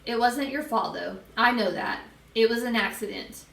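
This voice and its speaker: A woman voicing an emotional, doting but sharp mother, motherly and emphatic, in a storybook. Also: fairly high pitch, normal speed, creaky, roomy sound, far from the mic. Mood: apologetic.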